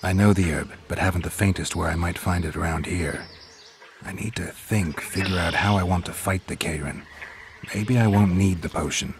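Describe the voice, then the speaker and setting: An actor playing a gruff, dry-witted monster hunter in a video game, laconic and deadpan, with a low gravelly voice. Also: male voice, moderate pace, a little hiss or hum. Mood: terse, businesslike.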